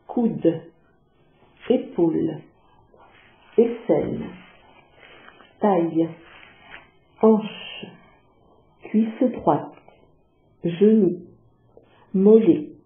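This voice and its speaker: A woman leading a guided yoga relaxation, giving calm, measured instructions with long pauses. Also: fairly low pitch. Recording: muffled phone-like sound, echoey, music behind.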